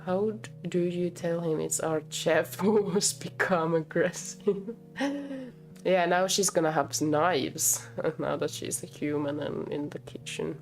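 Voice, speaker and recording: Low-voiced woman streaming a visual novel. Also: slow pace. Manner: expressive, breaks off to comment and giggle. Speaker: game streamer. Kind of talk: reading visual novel aloud with live reactions. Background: game music.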